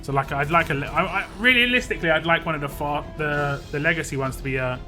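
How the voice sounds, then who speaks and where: A man's voice: on the high side, fast talker, bright, forceful tone. Chatty male streamer over game music. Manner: rambling, chatty, answers chat with laughs. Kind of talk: casual live-stream chat.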